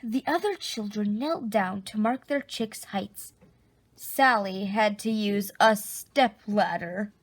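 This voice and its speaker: A woman reading a children's picture book aloud, expressively, with drawn-out dramatic emphasis. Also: mid-range voice, no echo. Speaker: storybook reader.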